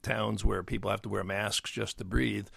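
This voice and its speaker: Gravelly older man, halting explainer. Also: level tone, a bit bright, no room sound, clean studio sound. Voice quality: gravelly bass voice. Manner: halting, many fillers and restarts. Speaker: guest, nuclear engineer. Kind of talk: explanatory interview talk. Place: dry podcast studio. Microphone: studio mic.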